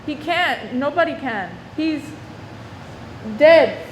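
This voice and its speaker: A woman's voice: sits high, lively intonation, slow. A woman playing a distraught, despairing wife in a radio drama. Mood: numb, bleak. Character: distraught, despairing young wife who has killed. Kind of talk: radio play acting. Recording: echoey room with faint noise.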